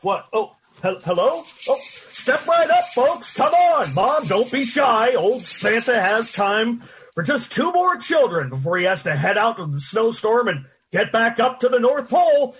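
An actor playing a jolly but weary old department store Santa, folksy and hearty, in an old-time radio drama. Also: male voice, very high voice, sing-song, measured pace, fairly bright tone, heavily acted.

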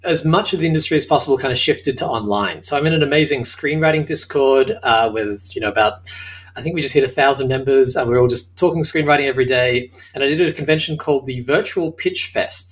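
A man with an Australian accent, a creator being interviewed from his apartment, telling his story in an upbeat way with frequent laughs. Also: mid-range voice. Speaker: creator of an audio sitcom, interview guest. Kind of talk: casual interview chat. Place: home apartment.

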